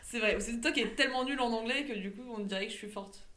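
A young woman co-hosting a casual podcast chat, playful and quick to laugh. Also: a little high, crowd noise. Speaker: podcast co-host. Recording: echoey room.

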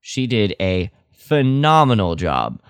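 A man's voice: fairly low pitch, sing-song, takes it slowly, clean tone, dry recording, clean studio sound. A man co-hosting a podcast in casual chat, talking with enthusiasm and emphasis. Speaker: podcast co-host. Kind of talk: casual chat about a radio drama.

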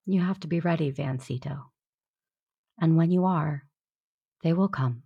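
A woman playing a steadfast, quietly confident warrior in an audio drama, measured and earnest. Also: low voice, creaky, breathy edge, muffled recording, heavily acted. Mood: calm, steadfast.

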